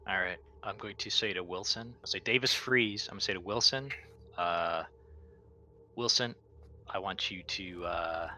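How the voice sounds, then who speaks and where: Deep, commanding man playing a tabletop game. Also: bright, forceful tone, slight background noise. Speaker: player in a tabletop roleplaying game. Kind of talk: improvised roleplay and table talk.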